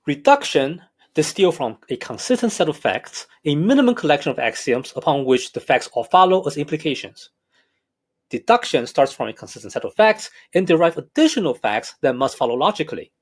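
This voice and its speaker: A math professor with a slight Hong Kong accent talks through a recorded lecture. His voice sits a bit high and rises and falls a lot. He explains in a measured, careful way. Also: very animated. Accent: Hong Kong English.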